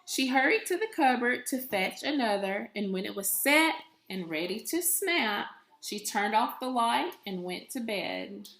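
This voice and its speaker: A woman reads a children's story aloud with expression, taking it very slowly. Her voice sits a bit high, and the recording is roomy and echoey. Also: very animated, fairly bright tone. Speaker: teacher reading aloud.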